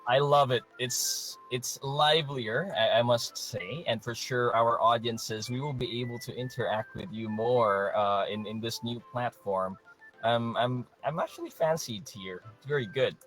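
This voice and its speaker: A man's voice: medium pitch, measured pace, fairly bright tone, soft background music, rough recording. A man co-hosting a casual online show, friendly and mixing in Tagalog phrases. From Philippines. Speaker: co-host.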